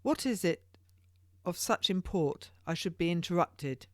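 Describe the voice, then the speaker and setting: A woman's voice: on the low side, lively intonation, unhurried, a little mellow, no echo, clean studio sound. A woman voicing a theatrical, pompous king of England who speaks in grand, exclamatory, archaic courtly phrases in an audiobook. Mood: imperious.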